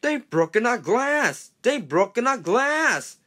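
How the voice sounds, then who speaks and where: A man voicing excitable railway passengers and a driver in a children's storybook, exclaiming urgently. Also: extremely high pitch, slow pace, voice pushed up, exaggerated voice. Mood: alarmed.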